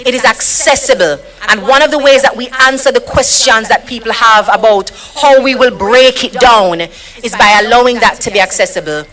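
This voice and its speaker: Woman, Jamaican accent, rally emcee, raised voice, formal and rousing, loud crowd behind. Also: a little high, moderate pace, low-quality recording. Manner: rousing, builds to shouted introductions. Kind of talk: formal event introductions. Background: cheering crowd.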